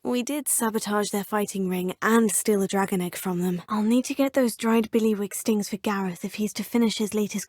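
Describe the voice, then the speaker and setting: A woman's voice: mid-range voice, a little mellow, dry recording, lightly acted. A woman voicing a polite, curious teenage witch student in a video game, speaking in a courteous, composed manner. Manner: courteous and composed, often saying sir. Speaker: game player character. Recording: dry studio recording.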